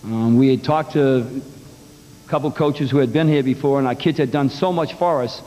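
A man's voice: medium pitch, moderate pace, mellow. A middle-aged man coaching basketball speaks bluntly and with emphasis, walking through his plan point by point. He talks into a table microphone in a big press room, and a long reverb trails his words on a live TV feed. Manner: blunt, emphatic, explains plans step by step. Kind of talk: postgame press conference answers. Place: press conference room in a large arena.

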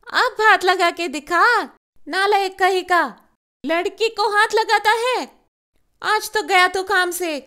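A woman's voice: extremely high pitch, slow, sharp tone, exaggerated voice. A woman voicing a bold young girl fighter who scolds her opponent in a children's doll story. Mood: fierce, scolding. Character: feisty fighting young girl, martial arts expert.

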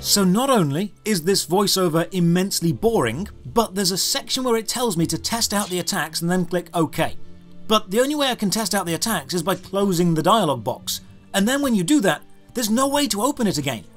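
A high-pitched man delivers a scripted game review as a voice-over. He sounds sardonic and exasperated, and music plays faintly behind him. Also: lively intonation, brisk. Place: voice-over recorded off screen over gameplay footage.

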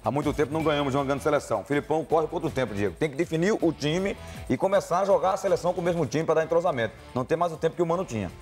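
A man in a TV studio giving brisk, opinionated sports commentary. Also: fairly high pitch, expressive, music in the background.

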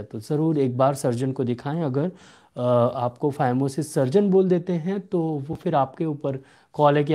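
A young male homeopathic doctor answering viewer questions in a live clinic, steady and instructive, slowing down to read questions aloud, recorded on a desk webcam in a small home room. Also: mid-range voice.

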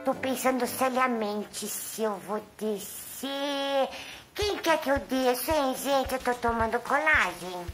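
A Brazilian woman doing a sweet, nervous young tomcat, high squeaky voice, chatting to viewers, music behind. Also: slow, fairly bright tone, rough recording. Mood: hesitant. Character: chatty, nervous, affectionate young male house cat. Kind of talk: pet vlog cat voice-over.

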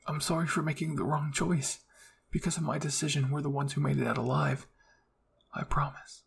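Man acting an earnest, gravelly young cadet. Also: on the high side, little pitch movement, moderate pace, slight background noise. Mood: guilty, tender. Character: anguished, devoted young male cadet.

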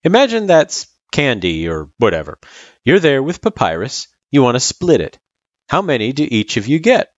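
A storyteller plays an easygoing guy in a story reading, tutoring with patience. His speech is casual and slangy, with lively swings in pitch. The recording is dry and slightly dull. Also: normal speed, no room sound. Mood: patient, teasing.

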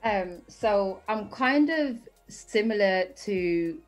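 A woman guest in a conversation who speaks hesitantly, pausing and restarting often. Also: mid-range voice, expressive, faint music behind, rough recording. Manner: hesitant, with many pauses and restarts. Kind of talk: casual conversation.

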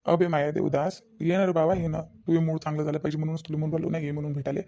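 A loud, pushy young best friend played by a man in a cartoon, high-pitched and quick. He is good-hearted but insistent, teasing and emphatic in a Varhadi Marathi accent. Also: level tone, vocal fry. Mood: teasing, coaxing. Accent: Varhadi Marathi from Vidarbha. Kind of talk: cartoon phone-call dialogue.

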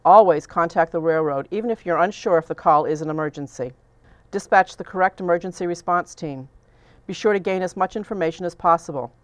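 A woman reading scripted training narration in a measured, instructional manner. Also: fairly low pitch, no echo.

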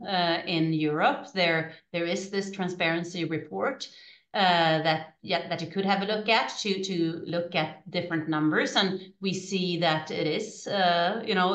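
Halting woman in an echoey room. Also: lowish voice, fairly bright tone. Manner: halting, repeating words as she thinks. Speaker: panelist. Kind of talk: webinar panel discussion. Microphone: video call. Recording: echoey room on a video call.

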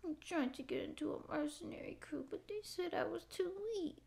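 A young woman acting a naive, pouty stray catgirl in a soft, halting ASMR roleplay voice. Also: sits high, vocal fry. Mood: plaintive, wistful. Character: naive, tearful stray catgirl would-be space pirate. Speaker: ASMR voice actor. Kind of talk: ASMR roleplay audio drama.

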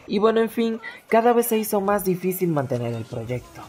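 A woman's voice: mid-range voice, sing-song, a little fast, a little mellow, a little hiss or hum. A young adult woman narrating a scripted vlog addressed to fans, as a fandub creator. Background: background music.

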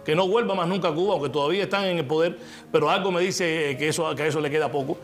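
Older guy hosting a tv talk show, kinda high voice, restarts a lot, music going in the back. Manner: lively storytelling, quick restarts. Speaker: host. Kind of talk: casual chat. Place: TV studio set. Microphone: lapel. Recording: music playing behind.

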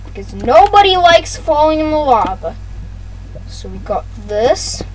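A high-pitched gaming host talks slowly through casual gameplay commentary. Phrases often get repeated and aimed straight at viewers, over some faint background noise. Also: very animated, rough recording.

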